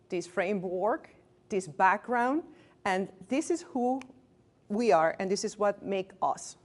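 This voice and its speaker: A Venezuelan-accented woman in her late thirties gives a training talk to a small group in a room. She speaks candidly, pokes fun at herself and breaks off to restart words. Her voice sits at an ordinary middle pitch for a woman. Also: a little mellow. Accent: Venezuelan Spanish. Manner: candid and self-deprecating, joking asides, restarts words. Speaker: physician giving a staff training. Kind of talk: lecture to a workplace group.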